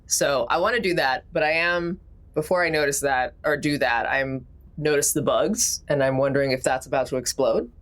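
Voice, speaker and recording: Low-voiced woman playing a tabletop game. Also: normal speed. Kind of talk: casual game-table chat.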